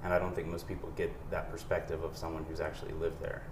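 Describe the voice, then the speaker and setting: A man in his thirties with a very deep voice hosts a casual interview podcast. He asks questions in an earnest, curious way. His voice sits in a roomy, echoing space with faint hiss. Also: flat delivery, fast talker. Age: 30s. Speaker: podcast host. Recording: roomy echo.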